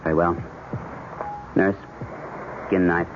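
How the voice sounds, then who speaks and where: An actor playing a composed surgeon who lectures clinically and with measured authority in a 1970s radio drama. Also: male voice, sits low, little pitch movement. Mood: brisk, composed. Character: composed male surgeon lecturing while operating. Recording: noisy, dull old radio broadcast.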